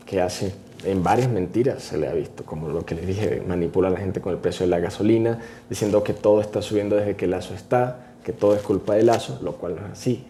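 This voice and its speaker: A man, a vlogger giving emphatic, opinionated political commentary to camera, sometimes rushing and sometimes slowing for stress. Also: low voice, warm tone, a little hiss or hum. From Venezuela. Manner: emphatic, opinionated, varying pace.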